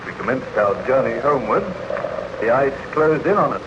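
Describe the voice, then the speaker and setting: An actor playing a steadfast, courteous ship's captain, formal and authoritative, in a 1930s radio drama. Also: male voice, mid-range voice, lively intonation, normal speed, close to an everyday voice. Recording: muffled, very noisy 1930s radio recording.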